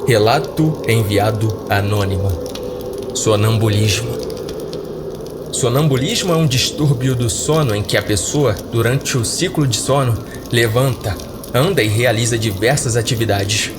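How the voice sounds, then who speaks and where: A man with a Brazilian accent narrating scripted horror stories as a podcast host. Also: medium pitch. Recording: loud background music. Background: music.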